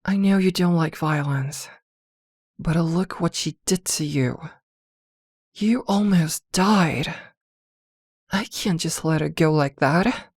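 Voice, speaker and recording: A woman playing a possessive, protective witch girlfriend in an intimate ASMR roleplay, with a soft, coaxing murmur. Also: low voice, takes it slowly, long pauses, slightly rough, dry recording, clean studio sound, full character voice. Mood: protective, vengeful.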